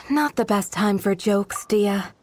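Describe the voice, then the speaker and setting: A woman playing a composed, responsible guard and coordinator in a video game, speaking in a measured, polite, gently chiding manner. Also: medium pitch, a little hiss or hum, lightly acted.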